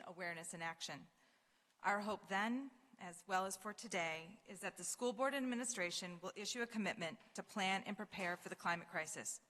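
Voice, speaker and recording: A woman, a parent, reading a measured prepared statement to a school committee. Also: mid-range voice, fairly bright tone. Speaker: parent speaking at public comment. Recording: reverberant meeting room.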